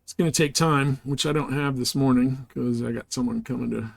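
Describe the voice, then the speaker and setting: Gravelly man, casual game streamer. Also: medium pitch, steady tone, brisk, no echo. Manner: informal, rambling, slangy. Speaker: live game streamer, host. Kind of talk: casual live-stream chat with game commentary.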